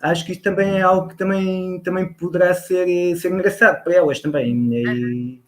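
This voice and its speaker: A man's voice: high-pitched, steady tone, fairly quick. A man with a Lisbon Portuguese accent making a polite, formal comment as an audience member, hesitantly searching for words and repeating them.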